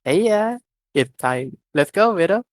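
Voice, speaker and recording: A bubbly, affectionate fantasy guild woman, acted by a man in a story reading. She gushes loudly. The voice leaps far above his normal pitch, in a very dry recording. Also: expressive, measured pace, dry recording. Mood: bright, calling. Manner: loud, gushing.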